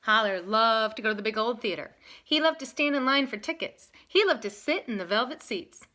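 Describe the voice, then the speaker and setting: A woman teaching and reading a picture book aloud with emphatic, expressive delivery. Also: fairly high pitch, brisk. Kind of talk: lesson talk and animated storybook reading.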